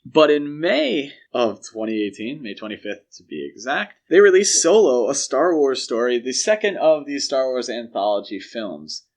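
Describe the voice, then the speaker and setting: A man's voice: mid-range voice, big pitch swings, moderate pace, hardly any pauses. A man co-hosting a podcast, casually discussing films in a conversational, explanatory way. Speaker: podcast co-host.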